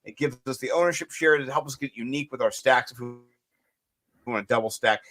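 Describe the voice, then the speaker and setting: A man with a raspy voice co-hosting a casual fantasy sports show, joking around and talking in loose restarts. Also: medium pitch, fairly quick. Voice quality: raspy today. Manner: animated, jokey, rambling with restarts. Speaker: co-host.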